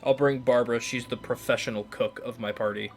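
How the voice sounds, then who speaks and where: Man, casual game commentary, joking, self-deprecating, mid-pitched voice. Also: fairly even intonation, fairly quick, soft background music. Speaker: gaming video host.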